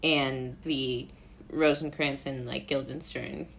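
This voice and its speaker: Young woman, low register, talkative and a bit goofy, as a theatre guest in relaxed conversation. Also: takes it slowly, creaky, a little hiss or hum. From Brooklyn, New York. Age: young adult. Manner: self-described goofy, chatty with frequent "like". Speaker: interview guest, playwright and actor. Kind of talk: casual interview chat.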